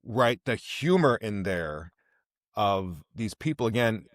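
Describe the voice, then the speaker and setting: A man's voice: on the low side, lively intonation, slow pace, no echo, clean studio sound. A man hosting an interview podcast, talking casually and reflectively, thoughtful and repeating phrases for emphasis.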